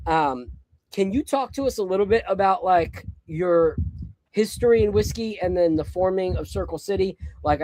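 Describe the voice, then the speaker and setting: A man's voice: on the high side, a little mellow, dry recording, rough recording. A man in his early forties hosting a podcast, chatting casually and candidly with jokes. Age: 40s. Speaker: podcast host.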